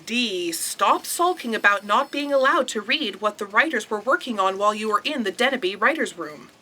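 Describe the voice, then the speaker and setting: A woman playing a brisk, composed starship intelligence in an audio drama, with a matter-of-fact manner. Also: medium pitch, lively intonation, pushed and bright. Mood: scolding.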